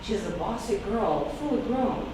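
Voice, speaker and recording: A woman's voice: mid-range voice, expressive, measured pace. A woman whose first language is Russian reads her own poem, speaking hesitantly and emotionally with many fillers. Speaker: poet reading her work. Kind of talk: poetry reading with spoken intro. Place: echoey hall, recorded from afar. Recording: distant, echoey, noisy room recording.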